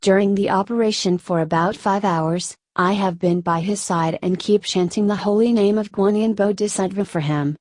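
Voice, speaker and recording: A woman's voice: medium pitch, normal speed, hardly any pauses, darkish tone, no room sound. A woman reading a scripted first-person narration of a Buddhist story.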